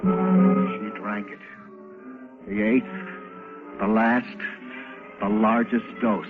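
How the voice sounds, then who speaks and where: An actor playing a desperate, obsessive poisoner in a melodramatic, intense old radio drama style. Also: male voice, mid-range voice. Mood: grimly determined. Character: desperate, obsessive man secretly poisoning a woman, confessing. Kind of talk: 1940s radio drama acting, first-person narration. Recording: tinny, band-limited vintage radio, music behind.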